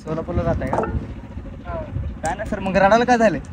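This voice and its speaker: A man's voice: sits high, fairly quick, background noise. A young man in casual prank-call chat, insistent and repetitive, with mock politeness. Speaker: prank caller and video host.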